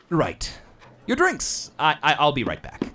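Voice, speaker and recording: A man's voice: a little high, noisy background, full character voice. A man playing a courteous but nervous, evasive tavern keeper in a fantasy audio drama. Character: polite, flustered, evasive male tavern keeper.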